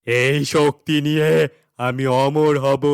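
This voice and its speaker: Casting: male actor for evil fairytale wizard, menacing, mid-pitched creaky voice, deliberate pace, commanding. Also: dry recording, studio quality. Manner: commanding, threatening. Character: menacing male shadow sorcerer craving immortality.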